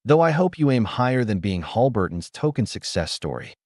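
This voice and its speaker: A man reading the lines of a composed billionaire mentor who speaks calmly and with dignity. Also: medium pitch, brisk, dry recording, studio quality.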